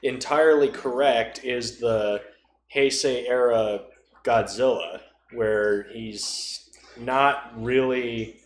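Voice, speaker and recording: A man's voice: mid-range voice, steady tone, takes it slowly. Regular guy podcast chat about rpg stuff, echoey room, little bit of noise. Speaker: podcast speaker. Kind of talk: casual chat about tabletop role-playing.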